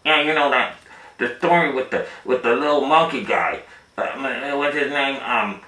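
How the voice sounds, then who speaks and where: A man voicing an eager, childlike puppet pal who talks in a halting, insistent way at storytime. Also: mid-range voice, pushed and bright, recorded from a distance, pitched above the performer's own voice, close to an everyday voice. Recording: roomy echo, away from the mic.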